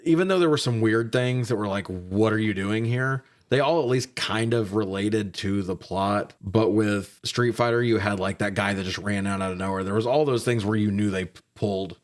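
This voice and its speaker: A man's voice: lowish voice, moderate pace, few pauses. A man co-hosting a film review show in casual banter, talking informally with some mild swearing. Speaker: podcast co-host reviewing films.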